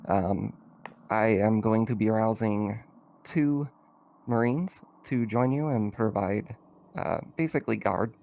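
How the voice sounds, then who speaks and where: A man's voice: on the low side, creaky, a little mellow, highs cut off. A man voicing a stern, no-nonsense woman mayor who gives orders formally in a tabletop game. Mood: firm, businesslike. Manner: brisk, formal, giving orders.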